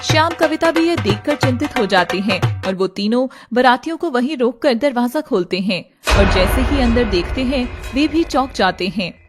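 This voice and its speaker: A woman's voice: on the high side, lively intonation, normal speed, few pauses, over loud music. A woman narrating a scripted story in a dramatic storytelling style.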